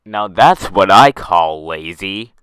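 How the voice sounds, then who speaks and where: A man's voice: sits low, lively intonation, slow, a bit bright, clean tone, no echo, poor audio. A young man ranting in an online commentary video, exasperated and often tripping over and restarting his words, close to a dry mic. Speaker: YouTube commentator.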